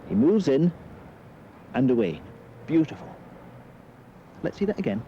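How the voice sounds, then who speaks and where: A smug thief giving sports-style analysis with relish. The voice is dark-toned and animated, leaning on key words. The film sound is dull with faint noise. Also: male voice, on the high side, a little slow. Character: smug expert bag-snatcher as sports pundit. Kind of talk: mock sports punditry.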